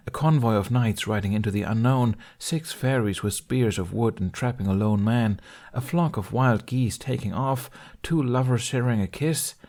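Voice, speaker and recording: A man narrates a fantasy fan fiction story in a steady, expressive reading. His voice sits low, and he keeps a calm storyteller's pace through the action. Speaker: podfic reader. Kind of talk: fanfiction audiobook narration.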